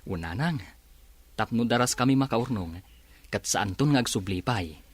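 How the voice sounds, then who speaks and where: A man's voice: fairly low pitch, lively intonation, moderate pace, dry recording. A man with an Ilocano accent acting a devoted, patient young fiance and son in a radio drama. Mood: earnest.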